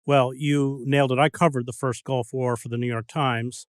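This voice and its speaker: A man's voice: medium pitch, flat delivery, normal speed, clear, resonant tone, dry recording, studio quality. A male host speaking in an interview, firm and direct. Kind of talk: interview conversation.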